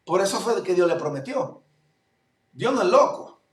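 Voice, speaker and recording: High-voiced Puerto Rican pastor preaching. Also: male voice, sing-song, moderate pace. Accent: Puerto Rican Spanish. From Puerto Rico. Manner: fervent preaching, swings between loud declarations and low asides. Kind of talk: sermon. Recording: echoey room, voice from far off mic.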